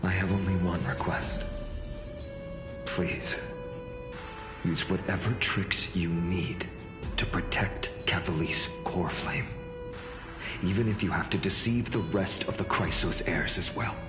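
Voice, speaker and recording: An actor playing an earnest, polite young male leader in a game, speaking calmly and courteously. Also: low voice, slow pace, pauses often. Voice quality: calm, courteous. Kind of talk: game voice acting. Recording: roomy echo, music behind.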